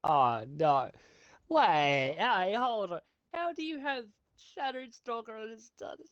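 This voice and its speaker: A man's voice: high voice, animated, slow pace, a bit bright, no room sound, lo-fi sound. A man bantering casually as a co-commentator, with a jokingly argumentative way of talking.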